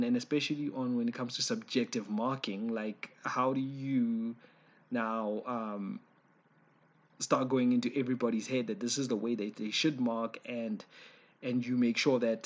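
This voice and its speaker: Slightly raspy South African man. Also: mid-range voice. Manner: hesitant, conversational, self-correcting. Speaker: online commentator. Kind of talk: informal spoken opinion piece.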